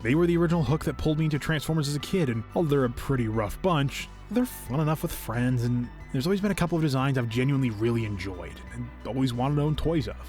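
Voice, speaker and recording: A young man narrating a review in a casual, expressive, enthusiastic and conversational way. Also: mid-range voice, fast talker, hardly any pauses. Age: young adult. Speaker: video host, toy collector. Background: music.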